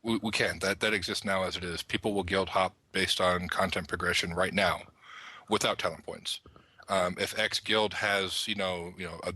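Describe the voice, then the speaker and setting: Man, very low pitch, bright forceful tone, enthusiastic podcast panelist, elaborating at length. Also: no echo. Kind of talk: panel discussion of an online roleplaying game.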